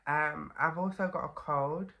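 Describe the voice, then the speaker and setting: A woman's voice: low voice, unhurried, bright, forceful tone. A young woman with a stuffy, congested voice from a cold, chatting casually to camera as a vlogger with lots of fillers and "okay?" asides.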